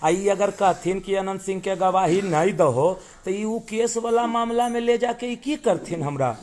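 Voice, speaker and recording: A man's voice: high voice, unhurried, darkish tone. A man with a Bihari accent in a recorded phone call, arguing in an insistent, aggrieved way. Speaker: caller in a leaked phone call. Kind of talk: heated phone argument in dialect.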